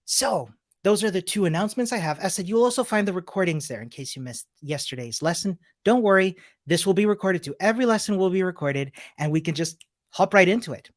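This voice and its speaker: A woman's voice: lowish voice, animated, fast. Upbeat woman teaching a live coding class. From Chile. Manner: upbeat, chatty, greets viewers by name and place. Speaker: coding instructor. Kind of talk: live-streamed coding lesson.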